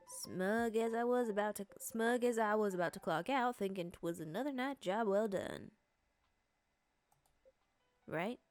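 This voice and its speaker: A woman's voice: medium pitch, lightly acted. A woman voicing an older male police officer in a game, recounting his night patrol in a self-satisfied way. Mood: dramatic.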